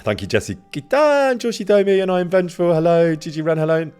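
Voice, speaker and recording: A man casually chatting with his live-stream viewers, greeting them by name and laughing often, with a loud voice that carries. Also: sits high, expressive, a little fast, smooth, faint music behind. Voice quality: loud, carrying voice. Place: indoor room near an event space.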